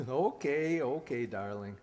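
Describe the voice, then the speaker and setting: Low female radio-play lead, acted: teasing, affectionate skeptic, animated intonation, roomy hall echo. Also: takes it slowly, exaggerated voice. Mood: amused. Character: affectionate, teasing, skeptical spouse of an amateur sleuth. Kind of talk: 1940s radio acting. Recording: echoey hall.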